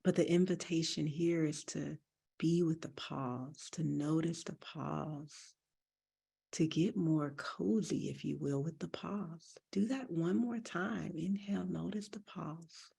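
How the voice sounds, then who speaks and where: A woman guides a breathing meditation in a mellow, husky voice with some fry. She speaks gently and deliberately, giving soothing instructions at an unhurried pace. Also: low voice. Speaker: meditation teacher.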